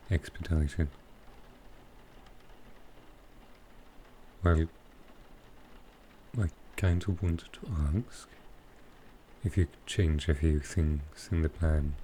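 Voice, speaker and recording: A man playing a sly, dryly amused male supervillain in a soft roleplay ASMR style, with halting, understated delivery. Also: sits low, slight background noise. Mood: casual, hesitant. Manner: unhurried, halting delivery with pauses, dry understated humour.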